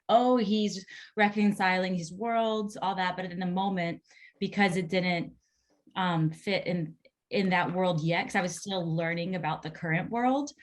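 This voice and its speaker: A woman speaking casually on a video call, thinking aloud as she gives feedback and often saying "like". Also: fairly low pitch. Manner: thinking aloud, heavy use of "like". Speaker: table read participant giving feedback. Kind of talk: casual spoken feedback on a script.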